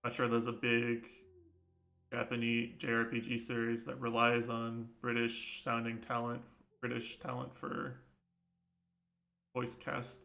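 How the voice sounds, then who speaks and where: A man's voice: medium pitch, monotone, measured pace, vocal fry, bright, forceful tone. A young man streaming a game, chatting casually and rambling through his thoughts with lots of fillers. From United States. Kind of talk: casual gameplay commentary.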